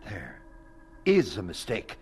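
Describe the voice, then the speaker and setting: An actor playing a stern, dismissive university official in an audio drama, formal, halting and condescending. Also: male voice, low voice, wildly swooping pitch, a little mellow, slight background noise, full character voice. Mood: cold, awkward.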